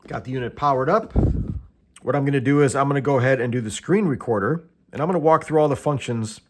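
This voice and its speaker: A man's voice: mid-range voice, quick pace. An American man hosting a casual product walkthrough, explaining step by step in a plain-spoken, matter-of-fact way. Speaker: host of tool review and how-to videos.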